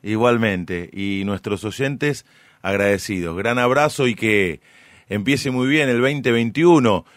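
A man's voice: lowish voice, takes it slowly, no echo, clean studio sound. A man hosting a radio interview in a courteous, attentive way.

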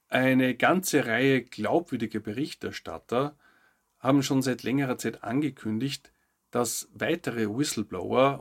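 A man hosting a news update, reading scripted narration with short commentary. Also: mid-range voice. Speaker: host of a weekly news update show.

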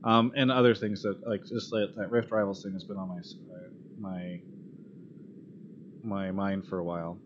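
A man's voice: low voice, little pitch movement, normal speed. A man hosting an esports call-in show, chatting casually and bluntly, with some swearing and exasperation. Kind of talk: casual live talk show chat. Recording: dull lo-fi audio with clear background noise.

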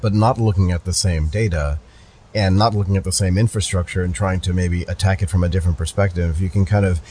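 A man's voice: deep voice, fairly even intonation, fast talker, mellow, slight background noise. A man hosting a podcast, interviewing guests in a casual, chatty, informal way. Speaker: podcast host.

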